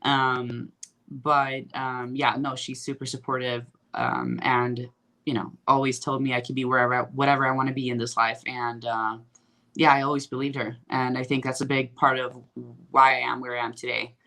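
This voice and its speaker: A woman's voice: rumbling low, little pitch movement, normal speed, sharp tone. A young woman from Sweden with a light Swedish accent, chatting loosely as an interview guest and telling stories with lots of fillers. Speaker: guest bodybuilder. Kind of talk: casual interview chat.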